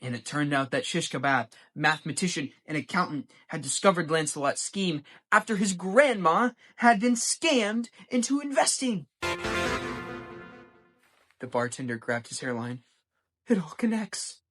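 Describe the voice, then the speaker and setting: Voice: mid-range voice, expressive, normal speed, fairly bright tone, soft background music. A teenager from the United States with an American accent, giving a dramatic scripted reading of a short story. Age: teens. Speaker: young writer reading own story.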